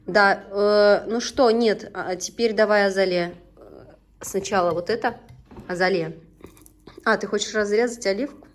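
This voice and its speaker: A woman's voice: mid-range voice, steady tone, moderate pace, pauses often. A woman in her thirties from Tatarstan whose first language is Tatar, chatting casually in a home vlog while cooking and guiding her children. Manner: chatty and homely, often breaks off to instruct her children. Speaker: vlogger and mother. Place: home kitchen.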